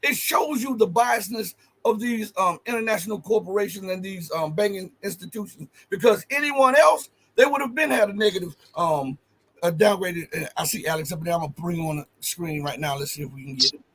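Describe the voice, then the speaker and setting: A man's voice: high-pitched, a little fast, a bit bright, breathy edge, rough recording. A man hosting a live stream interview, speaking emphatically with fillers. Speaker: host.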